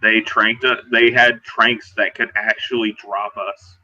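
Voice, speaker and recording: A man playing a weary, guarded but determined werewolf survivor in a tabletop roleplay, speaking haltingly and low-key. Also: level tone, bright, forceful tone, lo-fi sound, exaggerated voice. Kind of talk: in-character tabletop roleplay dialogue.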